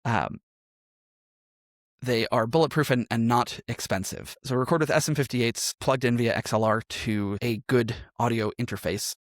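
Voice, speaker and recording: A man's voice: medium pitch, moderate pace, dry recording, clean studio sound. A man speaking as a podcast guest in a conversational interview, explaining things in a thoughtful way. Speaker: podcast guest, musician and role-playing game performer.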